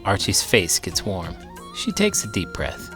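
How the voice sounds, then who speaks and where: A low-pitched American man reads a children's storybook with theatrical flair. His delivery swings widely in pitch, and clearly audible music plays behind him. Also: unhurried. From Iowa, United States. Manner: animated, theatrical. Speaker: children's book read-aloud storyteller and teacher. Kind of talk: expressive children's storybook narration with commentary.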